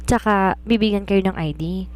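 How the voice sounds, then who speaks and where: A woman's voice: medium pitch, normal speed, a little mellow, natural voice. A woman storyteller voicing a chatty, fretful and inquisitive young female secretary in an eager, complaining manner.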